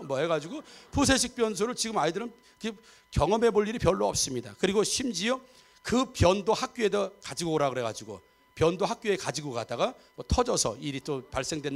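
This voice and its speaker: A man in his fifties preaching a Sunday sermon, chatty and joking, often checking for agreement from the congregation. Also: high voice. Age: 50s. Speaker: pastor. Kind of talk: sermon with humor and audience asides. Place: church sanctuary.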